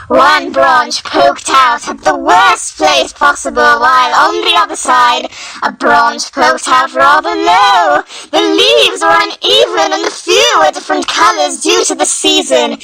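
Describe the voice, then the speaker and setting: Bright, high-voiced woman reading a story. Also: low-quality recording. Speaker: fanfiction reader. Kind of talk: dramatic scripted story narration.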